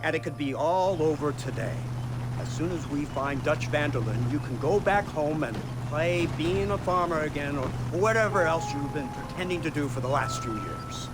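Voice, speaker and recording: Guy playing cold pompous gov agent boss in a game, highish voice, talks down to you, really noisy engine behind. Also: moderate pace, close to an everyday voice. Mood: cold, menacing. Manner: pompous, sardonic. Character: smug, condescending government agent boss, cold and eloquent. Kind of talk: video game cutscene acting. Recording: loud car engine noise behind.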